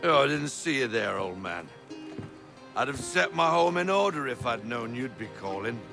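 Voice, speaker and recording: Gravelly, animated old sea captain. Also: male voice, mid-range voice, over music. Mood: sheepish. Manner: theatrical, blustering, drawn-out emphasis. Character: gruff, cantankerous old sea captain, proud of his ship. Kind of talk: video game character acting.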